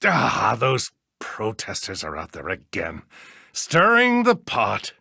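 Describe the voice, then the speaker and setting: An actor playing a pompous, grumbling older small-town judge with an American accent in a video game, in a blustery, theatrical manner. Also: male voice, medium pitch, wildly swooping pitch, moderate pace, fairly bright tone, no room sound, studio quality, exaggerated voice. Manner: blustery, theatrical, throat-clearing, self-important.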